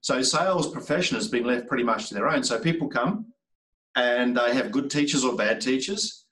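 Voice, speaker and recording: A man's voice: medium pitch, lively intonation, normal speed, fairly bright tone. A man, a sales trainer talking casually as a podcast guest, emphatic and repeating words for effect. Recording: roomy, distant from the mic.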